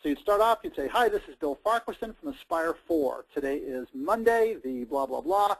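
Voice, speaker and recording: A man's voice: a little high, lively intonation, brisk, vocal fry, rough recording. An American man hosting a sales coaching talk in a conversational, emphatic style, with punchy short sentences. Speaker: host, sales trainer.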